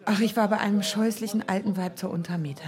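An actress playing a resolute, teasing young widow in colloquial radio play dialogue. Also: female voice, medium pitch, fairly even intonation, fast talker, darkish tone, some chatter behind, natural voice. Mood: gossipy, amused.

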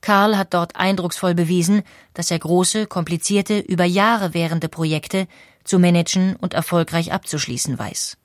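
A woman's voice: lowish voice, moderate pace, no echo, clean studio sound. Woman narrating a history documentary. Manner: clear, even storytelling with rhetorical questions.

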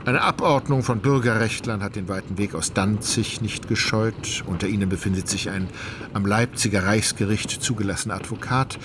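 A man reading scripted literary narration for a radio play in a measured, articulate storytelling manner. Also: lowish voice, background noise. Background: music.

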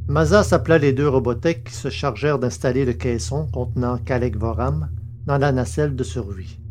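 A man's voice: medium pitch, normal speed, darkish tone, slight background noise. A man reading scripted literary narration for a science fiction story, as its narrator.

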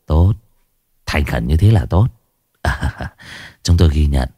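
A man voicing a blunt male police investigator who grills captured thugs in a gruff, coarse way. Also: rumbling low, lively intonation, slow, dark tone, no room sound, studio quality, pitched below the performer's own voice, heavily acted. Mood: stern grilling. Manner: gruff interrogating, coarse-spoken.

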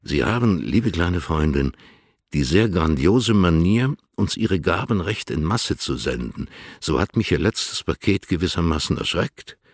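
An actor playing a dignified, affectionate older poet in a radio play, reading letters in a formal, courtly, measured way with a gravelly, husky voice. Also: male voice, deep voice, animated, dry recording, clean studio sound, full character voice. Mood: courtly, mildly reproachful.

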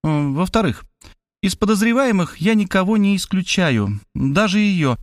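A man's voice: sits high, animated, normal speed, dry recording, clean studio sound, voice pushed up. A man voicing a self-assured woman writer turned amateur sleuth who lectures in a patronizing, matter-of-fact way. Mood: lecturing.

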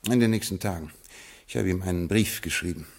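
An actor playing a ruined, absent-minded Scottish lord in a 1950s radio drama, with a stately, fatalistic manner. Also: male voice, low-pitched, fairly quick, vocal fry, a little mellow. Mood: resigned stately.

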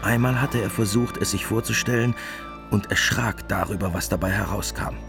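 A man's voice: on the low side, moderate pace, creaky, over music. A man giving a scripted literary narration as the narrator of a radio drama.

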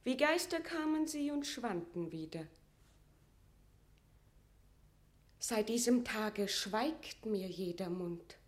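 A woman's voice: mid-range voice, animated, unhurried, natural voice. An actress playing a proud, aggrieved imprisoned queen, dignified and imperious, with formal declamatory diction in a classical German verse radio drama.

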